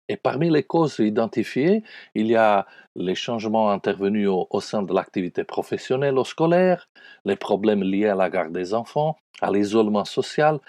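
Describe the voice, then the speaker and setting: Male pastor, Italian accent, earnest radio devotional, mellow tone, very dry studio sound. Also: mid-range voice, no room sound. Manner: earnest, pastoral, addresses listeners as friends.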